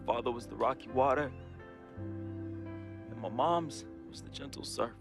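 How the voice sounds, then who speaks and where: A troubled young man in a dramatic game cutscene, reading out a farewell letter. His delivery is solemn and deliberate, a grieving confession at a middling pitch, with music playing clearly underneath. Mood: solemn, reflective. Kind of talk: dramatic first-person monologue.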